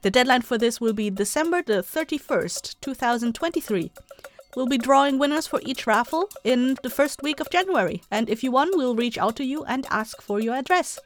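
A woman's voice: high voice, lively intonation, a little fast, soft background music. A woman podcast host giving a chatty, enthusiastic, casual announcement to listeners.

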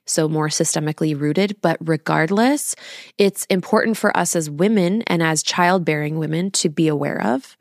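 A woman in her thirties hosting a podcast in a casual, confiding way, using emphatic rhetorical questions and drawn-out words. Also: lowish voice, dry recording, studio quality. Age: 30s. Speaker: podcast host.

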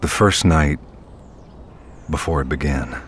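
A man playing a weary night-shift worker, narrating in a brooding, measured way for an audio drama. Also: extremely low pitch, noisy background. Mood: hushed wonder. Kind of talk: first-person audio fiction narration. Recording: loud music bed under the narration.

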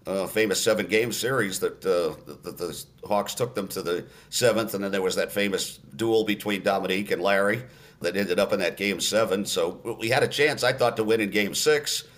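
A man in his sixties, a radio play-by-play announcer, telling stories in a relaxed interview, unhurried with many fillers and restarts. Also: on the low side. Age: 60s.

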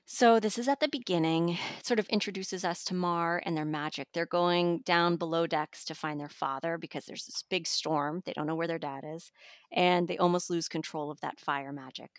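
Woman, low-leaning pitch, enthusiastic, chatty editor in interview, dry recording. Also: fairly even intonation, moderate pace, dry recording. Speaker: audiobook magazine editor and reviewer. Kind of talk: conversational book review interview.

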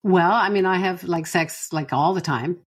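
A woman's voice: on the low side, moderate pace, husky. Playful, joking woman podcast host. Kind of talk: casual chat.

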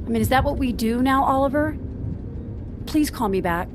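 A woman's voice: high voice, animated, noisy background. A woman playing a bewildered, hurt wife in an audio drama, speaking hesitantly in a naturalistic style. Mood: confused, hurt. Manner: halting, hesitant.

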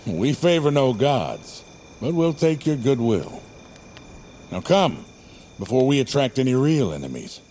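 An actor in an audio drama playing a stern but honorable guard captain, formal and authoritative. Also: male voice, mid-range voice, sing-song, unhurried, a little mellow, a little hiss or hum. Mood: gracious, steady.

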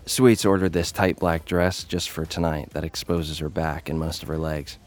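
A man's voice: very deep voice, flat delivery, moderate pace. An actor playing Danny, a cocky, jaded young American influencer who fights for his followers, narrating an audio drama in a wry, conversational way. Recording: music bed behind the voice.

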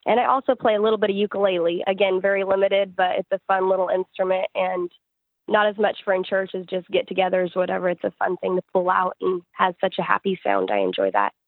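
A woman's voice: medium pitch, quick pace, no room sound, phone-quality sound. A woman who sings and writes gospel music, answering interview questions in a conversational way. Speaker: interview guest, gospel singer-songwriter.

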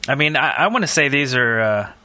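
Male podcast co-host, mid-range and bright, very dry sound, loose halting conversational delivery. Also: medium pitch, lively intonation, dry recording. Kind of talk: casual chat, thinking aloud.

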